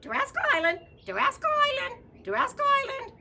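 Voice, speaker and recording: Shrill, squawking pirate's parrot. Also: female voice, animated, brisk, creaky, heavy background noise, exaggerated voice. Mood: squawking alarm.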